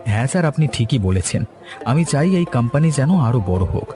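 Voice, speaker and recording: A man plays an earnest young Bengali husband in a cartoon story. His voice is mid-pitched and he talks respectfully. There is light music behind him. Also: expressive, a little fast, lightly acted. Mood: eager, respectful. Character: earnest, respectful young married man, employee and son-in-law.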